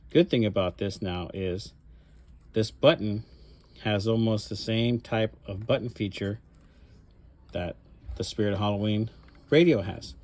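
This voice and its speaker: A man with a fairly low voice talks casually as a hobbyist showing off handmade work. He explains things step by step in a loose, rambling way, like an informal show-and-tell. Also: a little mellow. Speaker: hobbyist presenter showing a cosplay prop mod.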